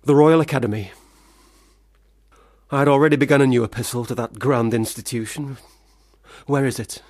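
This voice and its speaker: An actor playing a troubled, self-doubting young man who drinks, theatrical, swinging between loud protest and muttering in a radio play. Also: medium pitch, normal speed, no room sound, lightly acted. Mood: rambling, anxious.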